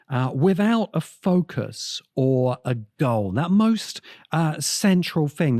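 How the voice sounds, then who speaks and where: A man delivering video essay commentary as a podcast host, with deliberate, emphatic phrasing and dramatic pauses. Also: mid-range voice, darkish tone, dry recording, studio quality.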